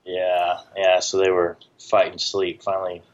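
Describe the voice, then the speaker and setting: A man's voice: deep voice, completely flat delivery, a little slow, muffled recording. A middle-aged man from the Southern United States chatting casually as an interview guest, with a dry, joking manner. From Southern United States, born in Tennessee. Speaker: podcast guest, barbecue business owner.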